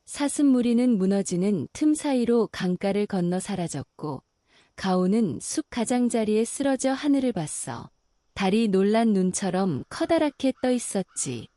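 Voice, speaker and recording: A woman narrating a bedtime fairy tale in a calm, slow and soothing way. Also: medium pitch, dry recording, clean studio sound. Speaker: storyteller.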